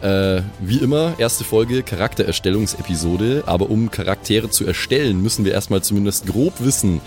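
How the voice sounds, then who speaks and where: A man's voice: lowish voice, animated, normal speed, darkish tone. A man co-hosting a podcast, talking casually with the others. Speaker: podcast co-host. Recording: music behind the voice. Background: music.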